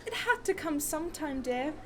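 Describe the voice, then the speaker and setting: A woman's voice: high voice, lively intonation, normal speed, fairly bright tone. A woman playing a serene, hopeful newlywed in a radio drama, soothing and romantic.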